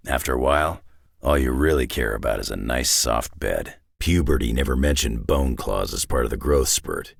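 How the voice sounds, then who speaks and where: Gruff middle-aged superhero brawler, very low husky rasp, weary, blunt, terse, close dry sound, video game. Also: male voice, animated, normal speed, no room sound, full character voice. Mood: dry, reflective. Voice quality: deep gravelly rasp. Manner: terse, dry, folksy tough-guy talk with dropped words.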